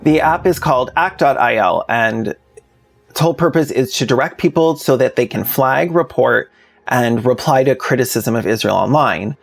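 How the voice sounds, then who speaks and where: Guy doing a video essay, bit of vocal fry, casual n sardonic, faint music under it. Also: medium pitch, moderate pace. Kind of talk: scripted commentary video with casual asides.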